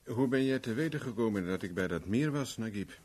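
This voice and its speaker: An actor playing a wry, stubborn captive private investigator in a radio drama, with a flippant manner. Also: male voice, fairly low pitch, animated, brisk, a little mellow, slightly rough. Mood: relieved, wary. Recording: noisy old radio play recording.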